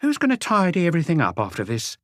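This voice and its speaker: A man's voice: fairly high pitch, wildly swooping pitch, fast talker, no echo, voice pushed up. A man voicing a suspicious, ill-tempered, defiant housewife who fires indignant questions in an audiobook. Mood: challenging. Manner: snappish, indignant, challenging questions. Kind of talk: audiobook character voice.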